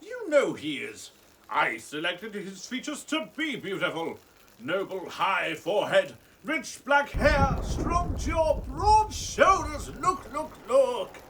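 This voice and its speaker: Manic, high-pitched mad scientist. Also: male voice, very animated, takes it slowly, far from the mic, noisy background, full character voice. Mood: rapt, admiring. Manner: imperious, feverish, barking repeated commands. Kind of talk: theatrical radio play acting.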